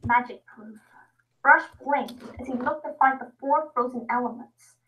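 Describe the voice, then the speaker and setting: A young woman livestreaming, switching between casual chat and an expressive, theatrical reading of a story. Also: high-pitched, normal speed, dull audio, a little hiss or hum. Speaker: livestream host, story writer.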